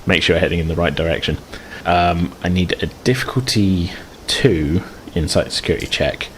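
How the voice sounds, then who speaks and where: A man's voice: deep voice, moderate pace, a bit bright, slight background noise. A man casually narrating a tabletop roleplaying game as game master, in a conversational, hedging way.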